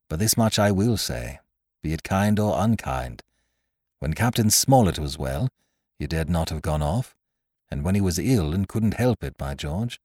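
A man reading aloud and voicing a stern but kindly older male doctor, speaking gravely and sadly. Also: sits low, a little mellow, no echo, clean studio sound. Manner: grave, sad, measured.

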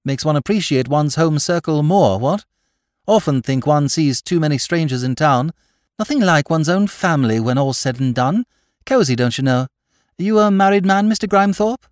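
An affable upper-class English gentleman about town , played by a male narrator. He rambles courteously and breezily, his pitch leaping about in a lively way. The sound is close and dry, like a storybook reading. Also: moderate pace, no echo, lightly acted. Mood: breezy, chatty.

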